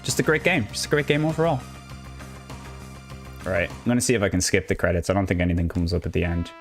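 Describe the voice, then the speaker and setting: Low-voiced Irish man, chatty streamer. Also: quick pace. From Ireland. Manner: chatty, rambling, upbeat, with frequent restarts. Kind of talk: casual live gameplay commentary. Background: game music.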